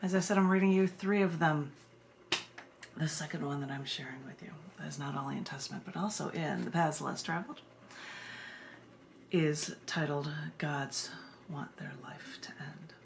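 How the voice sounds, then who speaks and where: A woman from the United States speaking as a poet, moving between informal, excitable introductions and deliberate, dramatic readings of her own verse. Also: sits low, vocal fry, slight background noise.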